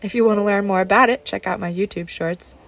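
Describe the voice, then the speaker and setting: A woman's voice: mid-range voice, fast talker, creaky, soft background music. A woman talking casually as the host of a travel vlog.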